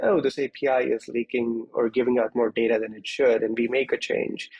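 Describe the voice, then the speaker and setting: A man's voice: medium pitch, creaky, darkish tone, husky, rough recording. A man speaking as an interview guest, explaining technical matters conversationally in structured, step-by-step points. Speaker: interview guest, security executive.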